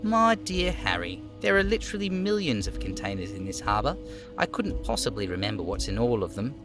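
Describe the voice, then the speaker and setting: A man voicing an overweight union boss in a video game, falsely chummy, smooth and theatrically indignant. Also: fairly low pitch, big pitch swings, fairly quick, over music. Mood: playfully evasive. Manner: smooth, theatrical, over-familiar.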